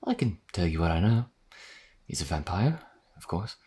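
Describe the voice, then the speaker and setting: A man's voice: very animated, normal speed, mellow, full character voice. A man playing a modest, earnest vampire wanderer in a fantasy audio roleplay, explaining things in a hesitant, conversational way. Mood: explanatory. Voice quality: deep, husky.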